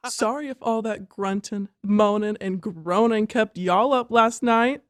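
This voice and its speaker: A woman's voice: mid-range voice, measured pace, dry recording, clean studio sound, close to an everyday voice. A woman playing a brash, bawdy, flirtatious woman with a teasing manner in an audiobook drama.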